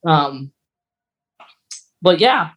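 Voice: medium pitch, expressive, very slow, fairly bright tone. A podcast co-host explaining things in a casual, conversational style.

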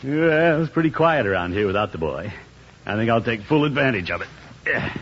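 An actor playing a pompous, easily flustered middle-aged uncle in a 1950s radio sitcom, chuckling and muttering to himself with drawn-out exclamations. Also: male voice, mid-range voice, very animated, a bit bright. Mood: contented, genial. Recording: dull 1950s radio broadcast, faint noise.

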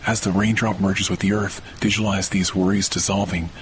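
Very deep-voiced man guiding a sleep meditation. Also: flat delivery, slight background noise. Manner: slow, soothing, hushed delivery. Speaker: meditation guide. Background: faint rain sounds.

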